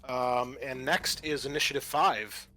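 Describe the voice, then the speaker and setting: A man with a bright, forward voice runs a tabletop roleplaying game as game master. He talks casually and loosely, describing moves and rulings, with faint music playing behind him. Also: medium pitch, fairly even intonation, unhurried.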